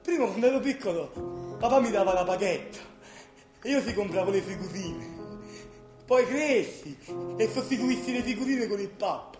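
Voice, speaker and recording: An actor with a southern Italian accent playing a restless, nostalgic young worker in a colloquial, emotional radio theatre monologue. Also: male voice, high voice, animated, normal speed, pauses often. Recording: roomy stage sound under loud music.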